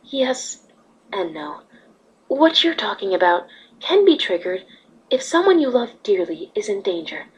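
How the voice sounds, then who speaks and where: A woman voicing a wise, motherly pony ruler in a fan fiction reading, speaking patiently and earnestly with a slightly husky voice. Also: medium pitch, sing-song, measured pace, low-quality recording. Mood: earnest teaching.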